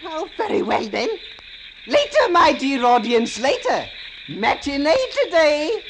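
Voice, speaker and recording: Deranged, florid stage tragedian for radio horror: high-pitched, hugely animated, on a noisy vintage recording. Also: male voice, measured pace, creaky, exaggerated voice. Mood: theatrical. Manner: florid, grandly declaiming. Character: mad theatrical former stage actor, grandiose, addressing rats as audience. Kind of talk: old-time radio horror acting.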